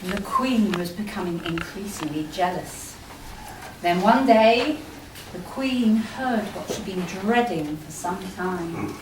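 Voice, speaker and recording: A woman's voice: medium pitch, animated, slow pace. A woman narrating a fairy tale in a storybook style for an amateur stage pantomime. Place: indoor amateur stage performance. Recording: echoey hall from far off, noisy.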